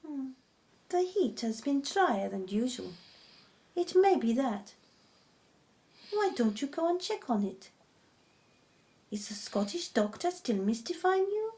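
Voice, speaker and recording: A gentle Egyptian wife in an audio drama, caring and soothing. Her voice is fairly high and moves freely up and down. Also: sing-song, slow, pauses often, full character voice. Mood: tender concern. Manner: soothing, tender.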